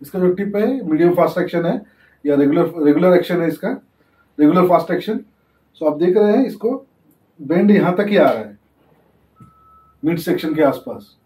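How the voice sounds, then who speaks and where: High-voiced man teaching from a distance. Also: animated, moderate pace, pauses often, warm tone. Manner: explains while demonstrating, mixing in English terms. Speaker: fishing channel host. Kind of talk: casual tutorial demonstration. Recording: echoey, distant from the mic.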